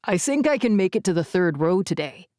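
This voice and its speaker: Cocky teen boy, a touch lower, sneering and dismissive, read by a woman. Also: big pitch swings, brisk, a little mellow, dry recording, clean studio sound.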